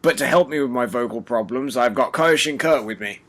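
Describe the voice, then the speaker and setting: A hoarse man hosting a casual review chat, keeping his voice low to spare it. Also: brisk. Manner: talking quietly to spare his voice. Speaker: host.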